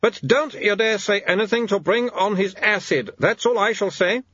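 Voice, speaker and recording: A man's voice: high voice, sing-song, moderate pace, bright, forceful tone, husky, no echo, dull audio, pitched above the performer's own voice, exaggerated voice. A man voicing a long-suffering, bossy wife in a storybook, firm, haughty and put-upon. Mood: haughty, offended.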